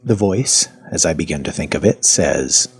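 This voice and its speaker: A weary, sardonic male captive, played by an actor tells his own audio horror story. His voice is very low and husky, with wide swings in pitch. The delivery is wry and clipped. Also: moderate pace, exaggerated voice. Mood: wry narration. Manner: deadpan, wry, clipped phrases. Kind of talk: first-person audio fiction narration.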